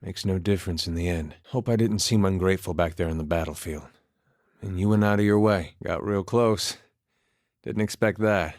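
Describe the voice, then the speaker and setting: A man's voice: sits low, normal speed, darkish tone, no room sound, studio quality. A man voicing a laid-back, wry farmer turned soldier in a video game, with a plainspoken, understated folksy manner. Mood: resigned, wistful. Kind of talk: performed game dialogue.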